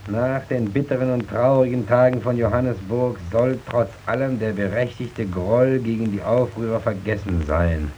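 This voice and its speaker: Through the clear noise of an archival radio play comes a dreamy, defiant mine worker, played by a male actor. He has a medium-pitched voice. His delivery is theatrical, able to swing from soft musing to bitter irony and outcry. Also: dull audio, lightly acted. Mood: bitterly ironic. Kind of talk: old German radio drama acting.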